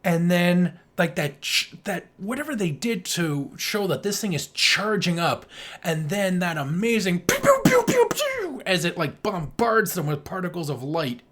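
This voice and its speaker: Enthusiastic co-host, lively swooping intonation, casual film chat, vocal sound effects. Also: medium pitch, measured pace, slight background noise. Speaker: podcast co-host.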